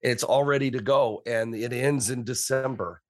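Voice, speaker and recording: A man's voice: medium pitch, moderate pace. A man co-hosting a podcast in casual chat, with dry, wry humor. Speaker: podcast co-host and researcher.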